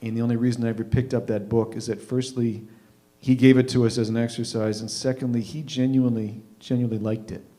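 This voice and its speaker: A man in his fifties from Canada hosting a live show, telling a personal story informally in a wry, reflective way with deliberate emphasis. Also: medium pitch, little pitch movement, mellow. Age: 50s. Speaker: host of a live readers' theatre show.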